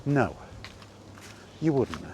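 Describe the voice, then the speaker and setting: A man giving an unscripted opinion monologue in an emphatic, deliberate manner, leaning on rhetorical questions and repetition. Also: mid-range voice, big pitch swings, dark tone, slight background noise. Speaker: video host sharing opinions on gaming.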